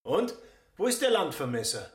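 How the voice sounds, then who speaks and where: An actor playing a suspicious, rule-bound innkeeper in a radio drama, speaking formally and insistently. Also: male voice, a little high, very animated, normal speed. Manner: formal, insistent, stressing regulations. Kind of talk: literary radio drama acting.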